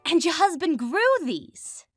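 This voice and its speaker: A woman's voice: high-pitched, animated, a little slow, soft background music. A woman playing a chatty, obnoxious bird-monster reporter in an exaggerated cartoon dub. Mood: chirpy, joking.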